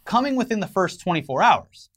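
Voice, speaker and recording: Higher-voiced man co-hosting entertainment news. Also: sing-song, fairly quick. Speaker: co-host of an entertainment news show. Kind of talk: semi-scripted news commentary with casual banter.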